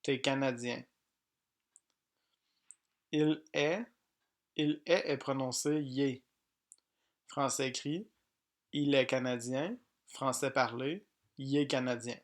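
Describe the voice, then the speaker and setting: A man teaching pronunciation, reading each example sentence slowly and plainly, often twice. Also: mid-range voice, fairly even intonation. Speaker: French language teacher. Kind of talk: slow pronunciation drill.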